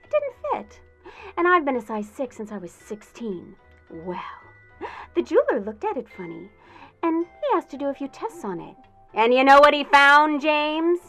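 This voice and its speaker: An actress playing a bossy, hot-tempered fiancée with a melodramatic manner in a radio comedy. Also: female voice, high voice, very animated, moderate pace, fairly bright tone, soft background music, heavily acted. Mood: furious. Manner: scolding, melodramatic. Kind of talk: modern revival of old-time radio comedy drama acting.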